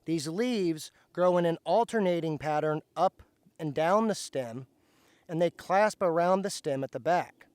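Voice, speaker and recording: A slightly hoarse man, patiently explaining step by step as he teaches plant identification outdoors. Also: a little high, unhurried, dry recording. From central Indiana, USA. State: slightly hoarse, recovering from lost voice. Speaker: host, foraging teacher. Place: outdoors by a driveway.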